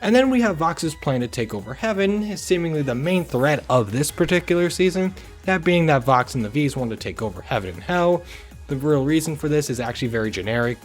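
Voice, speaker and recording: A man with a mid-pitched voice presents a scripted video essay. He speaks informally and frankly, like an opinionated online host. Music plays underneath his narration. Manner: informal, opinionated, occasional swearing. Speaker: host of a video review channel.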